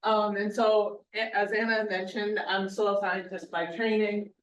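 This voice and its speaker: A woman giving a conference talk to a live audience in a loud, projecting voice, chatty and quizzing the crowd. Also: medium pitch, little pitch movement, moderate pace. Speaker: guest speaker, soil scientist. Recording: roomy hall, mic far off.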